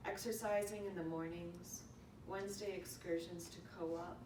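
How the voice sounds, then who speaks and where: A woman's voice: fairly even intonation, normal speed. A woman reading a prepared eulogy aloud in a storytelling way. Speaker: eulogy reader. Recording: echoey room, distant mic, noisy rumble.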